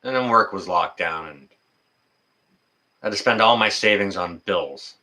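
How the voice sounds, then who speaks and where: Male, 50s, deep but forward and bright voice; folksy, rambling, unscripted livestream chatter with a halting flow. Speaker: livestream host. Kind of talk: casual livestream chat.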